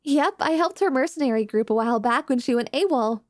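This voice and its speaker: Woman playing a high-voiced, breezy young female adventurer. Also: animated, a little fast, darkish tone, dry recording, studio quality. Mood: breezy, friendly. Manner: casual, perky, rambling. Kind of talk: fantasy audio roleplay.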